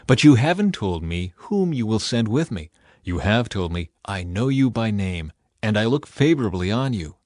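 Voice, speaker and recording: A man's voice: lowish voice, sing-song, normal speed, darkish tone, dry recording, studio quality. A man voicing an earnest, solemn prophet who leads and pleads for his people. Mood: earnest pleading.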